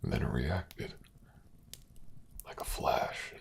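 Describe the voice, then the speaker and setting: An actor playing a weary, dying miner in a fantasy audio drama, pleading in a weak, halting, breathy half-whisper. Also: male voice, slight background noise, natural voice. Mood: weary resignation. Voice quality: gravelly, breathy rasp.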